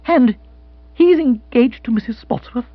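A man voicing an excitable, hearty young lord. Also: sits high, normal speed, husky, no echo, dull audio, voice pushed up, full character voice. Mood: astonished.

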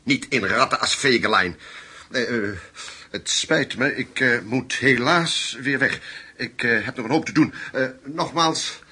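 An actor in a Dutch radio play voicing a probing journalist who smoothly flatters former Nazis. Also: male voice, mid-range voice, unhurried, heavily acted. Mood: reassuring, hesitant.

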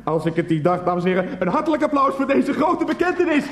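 A man's voice: very high-pitched, sing-song, fast, echoey room. An actor playing a pushy, gushing TV game show host in a radio play parody, theatrical and repeating himself as he goads. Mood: coaxing, triumphant.

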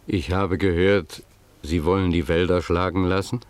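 A man acting a grave, admonishing village pastor in a German radio play, measured, solemn and formal in his delivery. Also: sits low, fairly even intonation, no echo, natural voice. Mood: grave, warning.

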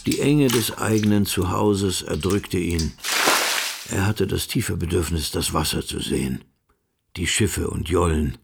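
A man's voice: sits low, measured pace, gravelly, very noisy. A man narrating a literary radio play from a script.

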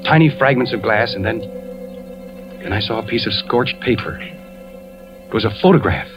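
An actor playing a suspicious, troubled husband and investigator who narrates a 1940s radio thriller in a clipped, hard-boiled way. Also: male voice, on the low side, animated, moderate pace, natural voice. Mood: brooding, tense. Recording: muffled 1940s radio broadcast, music behind.

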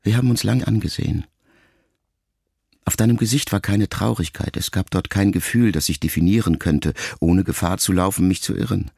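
An actor playing a melancholy, reflective writer son in a radio drama, speaking in measured, intimate, halting phrases as if to his mother. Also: low voice, vocal fry, darkish tone, husky, no echo, clean studio sound, exaggerated voice. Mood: quietly bitter.

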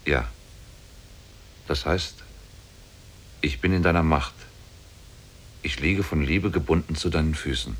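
Very deep, husky demon sorcerer. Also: male voice, unhurried, long pauses, exaggerated voice. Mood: lovestruck. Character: mighty demon sorcerer, lovestruck captor of a woman. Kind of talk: 1950s German radio drama acting. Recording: old 1950s radio play on cassette.

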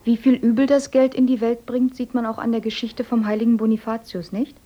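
An actress playing a devout, soft-spoken young woman in a radio play. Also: fairly high pitch, flat delivery, fairly quick, lightly acted. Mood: telling. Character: pious clever young woman, gentle and humble. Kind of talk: radio drama acting. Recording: dull lo-fi recording.

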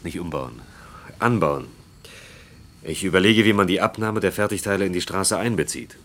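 A man playing a self-assured, ambitious young factory engineer in a German radio play, cool, brisk and matter-of-fact. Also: low-pitched, fairly bright tone. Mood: intent, matter-of-fact.

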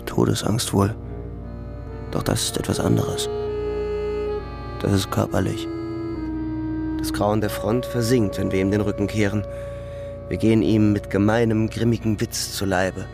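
An actor playing a bitter, world-weary young front-line soldier narrating a radio drama in a measured, grim, gravelly way. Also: male voice, fairly low pitch, flat delivery, over loud music. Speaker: first-person narrator.

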